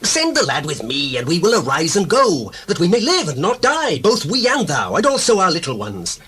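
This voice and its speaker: A man's voice: high-pitched, wildly swooping pitch, normal speed, bright, forceful tone, breathy edge, heavily acted. An actor playing an earnest, commanding man of the ancient world in a scripture drama, with emphatic declamation. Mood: urgent, pleading. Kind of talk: dramatized scripture reading in archaic English.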